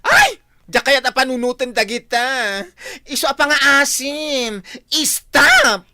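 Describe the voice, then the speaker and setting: Radio drama: overdramatic young friend, bright, fairly high, hugely animated. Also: female voice, slow, exaggerated voice. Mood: whining. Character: dramatic young woman friend dodging her debts.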